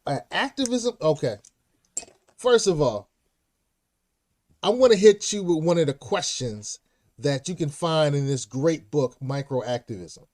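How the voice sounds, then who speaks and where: A man's voice: on the high side, animated, unhurried, long pauses, darkish tone. A man hosting an interview show with enthusiasm, exclamatory and slangy, repeating phrases for emphasis. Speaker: talk show host.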